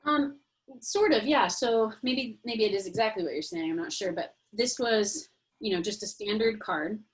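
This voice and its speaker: A woman's voice: mid-range voice, normal speed, husky, poor audio. Chatty woman teaching a craft class. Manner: chatty, friendly explaining with fillers. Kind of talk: casual online class instruction.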